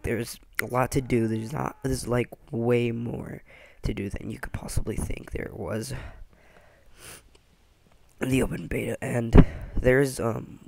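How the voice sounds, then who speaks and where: A young man with a hoarse, scratchy voice after losing it, casually reviewing a game with lots of fillers and restarts. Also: medium pitch, darkish tone. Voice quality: scratchy, gravelly. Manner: rambling, many fillers and restarts. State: hoarse, lost voice. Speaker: gaming channel host.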